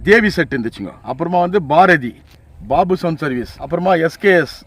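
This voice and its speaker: High-pitched, creaky older man reminiscing. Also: expressive, a little fast, slight background noise. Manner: deferential, often addressing listener as sir; repetitive, listing from memory. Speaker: interviewee, veteran sound system operator. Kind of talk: casual reminiscing in an interview.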